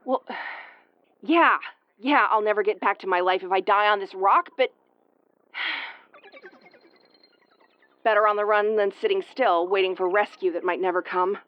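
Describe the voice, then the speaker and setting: Female performer for tsundere space commander, audio roleplay: gruff, prickly, secretly caring, medium pitch, very dry recording. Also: a little slow, long pauses, dry recording, highs cut off, natural voice. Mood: flustered, angry. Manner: brusque, stammering when flustered. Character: tough tomboyish tsundere woman starship commander, prickly but caring. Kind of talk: intimate audio roleplay acting.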